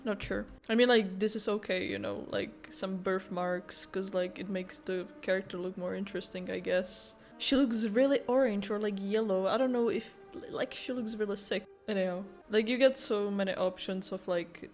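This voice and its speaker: A woman's voice: mid-range voice, lively intonation, normal speed, hardly any pauses, darkish tone, faint music behind. A young woman doing informal, rambling playthrough commentary in a casual, giggly way. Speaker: gaming video creator.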